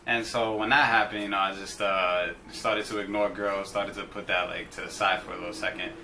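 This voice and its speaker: A young man co-hosting a couple's vlog, telling the story in a relaxed, halting way full of "you know" and "uh". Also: on the low side, a little hiss or hum. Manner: laid-back, hesitant, lots of "you know" and "uh". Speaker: vlog co-host. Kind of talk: casual storytime chat.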